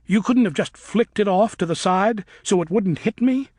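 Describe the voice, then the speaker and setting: A man voicing a young female bat who is angry and grieving, shouting and wailing in a high voice. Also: lively intonation, slow, no room sound, clean studio sound, full character voice. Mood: furious.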